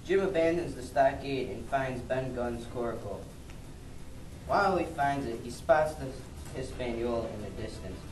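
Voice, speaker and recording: A man's voice: medium pitch, moderate pace, noisy background. A man reading out scripted narration for an amateur puppet show, stumbling now and then. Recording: echoey room, distant mic, loud music.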